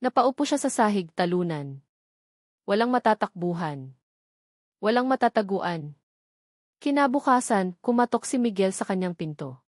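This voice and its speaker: A woman reading a scripted romance story aloud in a measured, dramatic storytelling manner. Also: mid-range voice, animated, darkish tone, dry recording, clean studio sound. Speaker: narrator.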